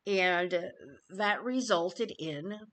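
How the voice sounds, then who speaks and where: A woman YouTube commentator giving an informal news update to viewers, phrasing things deliberately and emphatically with pauses. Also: fairly low pitch, fairly bright tone.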